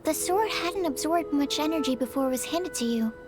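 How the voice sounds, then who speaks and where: A studious young alchemist from a game, speaking in a very high voice with formal, polite wording. She stumbles over her words when flustered, and game music plays behind her. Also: lively intonation, fairly quick. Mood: earnest. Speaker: game character. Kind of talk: video game cutscene dialogue.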